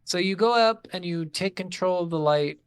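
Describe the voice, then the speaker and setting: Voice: mid-range voice, moderate pace, smooth, dry recording. Blunt, sweary podcaster with a dry, close sound. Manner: profane, blunt, informal; acts out reactions in mock dialogue. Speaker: podcast co-host reviewing video games. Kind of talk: casual chat recapping a game.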